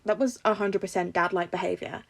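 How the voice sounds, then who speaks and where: A woman's voice: lowish voice, steady tone, a little fast, vocal fry. A woman voicing a frank, supportive teenage boy with a casual, insistent manner in a story reading. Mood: teasing.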